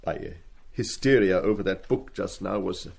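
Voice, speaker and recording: An actor in a radio play as a stiff, wounded older schoolmaster who speaks formally and precisely with dry, dignified restraint. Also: male voice, deep voice, moderate pace, vocal fry. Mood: bitter restraint. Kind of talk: radio drama acting.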